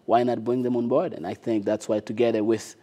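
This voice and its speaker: A man's voice: medium pitch, flat delivery, brisk, mellow. A young man whose first language is not English, speaking earnestly and eagerly as a guest in a studio interview on a lapel mic. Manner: earnest, eager, many short affirmations. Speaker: guest, city councilor. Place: TV studio with dark curtains.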